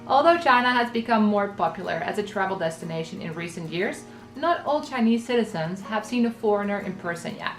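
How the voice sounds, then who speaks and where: A woman from the Netherlands in her thirties giving travel tips in a scripted vlog, explaining clearly and practically. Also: mid-range voice, expressive, brisk, faint music behind. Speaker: travel vlogger.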